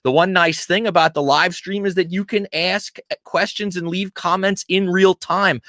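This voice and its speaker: A man's voice: a little high, pushed and bright, no echo, studio quality. A man from Pennsylvania hosting a live stream, casually greeting viewers and chatting in a friendly, talkative way. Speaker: host of a live-streamed show.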